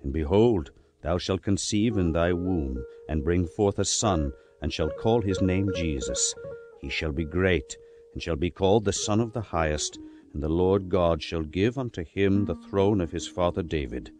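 A majestic heavenly messenger speaks in a very low register. The delivery is grave and measured, like a proclamation in an audio scripture drama, over soft music. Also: male voice. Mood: exalted proclamation. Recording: music behind the voice.

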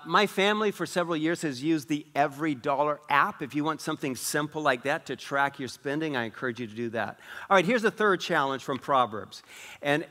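A middle-aged man who grew up in West Africa, preaching a sermon as pastor in a large church auditorium, animated and gesturing broadly. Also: fairly high pitch. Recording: long reverb of a large hall.

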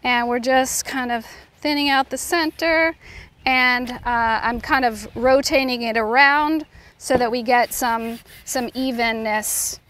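Middle aged chef lady, high voice, casually walking you through steps while she works outside. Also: unhurried, a bit bright. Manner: informal, instructive, talking while working. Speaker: chef and cooking instructor. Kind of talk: cooking demonstration talk. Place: outdoors on a lawn beside a food truck.

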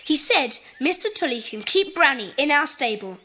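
A woman's voice: high-pitched, very animated, bright, forceful tone, exaggerated voice. A woman playing a confident, kindly British schoolboy who leads a club in a children's audio drama. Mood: confident, encouraging. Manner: brisk, encouraging, in charge.

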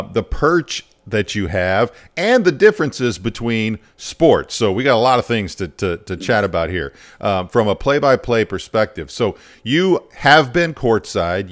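Low-pitched male podcast host. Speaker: podcast host, sports broadcaster. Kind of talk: conversational interview. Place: home studio in a furnished den. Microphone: close broadcast mic on a boom arm.

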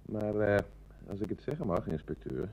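A man's voice: lowish voice, lively intonation, moderate pace, highs cut off. A man acting a level-headed, respectful police sergeant who doubts things, in a Dutch radio play. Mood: sober, doubting.

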